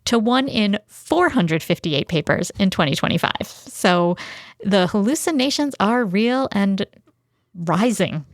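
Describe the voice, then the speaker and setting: A woman's voice: mid-range voice, animated, unhurried, dry recording, studio quality. A woman co-hosting a podcast, explaining research in an informal, conversational style. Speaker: podcast co-host. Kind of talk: casual explanatory podcast discussion.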